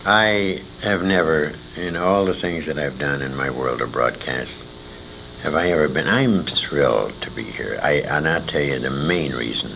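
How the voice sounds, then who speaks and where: A man with a gravelly voice reminiscing in an informal interview, earnest and emphatic in the way he talks. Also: a bass voice, moderate pace. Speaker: interviewee, former radio show performer. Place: old-time radio convention. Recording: noisy amateur convention tape recording.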